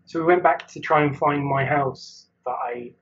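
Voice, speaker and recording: A man's voice: on the high side, normal speed, lo-fi sound. A man from Wales, chatting as a guest artist over a video call, rambling and hesitant with frequent laughs. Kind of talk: casual chat.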